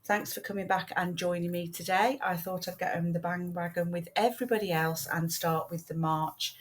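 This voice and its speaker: A British woman hosting a casual crafting video, chatting in a rambling way with many ums, her voice croaky from a lingering cold and cough. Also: on the low side, steady tone, moderate pace. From United Kingdom. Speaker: craft channel host.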